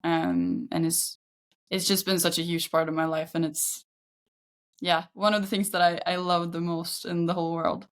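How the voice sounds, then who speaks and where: A woman in her thirties speaks English with a Swedish accent, in a voice set a little low. She talks in a casual interview style, telling things in her own words as a guest. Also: moderate pace. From Sweden. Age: 30s. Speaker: podcast guest, football agent.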